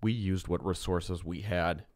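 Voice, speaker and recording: A man's voice: low-pitched, steady tone, unhurried, background noise. A man playing an earnest, brooding teenage boy detective in an audio drama, serious, polite and understated. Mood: matter-of-fact.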